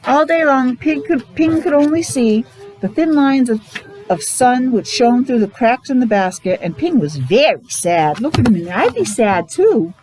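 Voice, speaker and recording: A woman reading a picture book aloud to young children, expressive, adding explanations and questions along the way. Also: on the high side, normal speed, low-quality recording. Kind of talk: animated read-aloud storytelling with asides to young children.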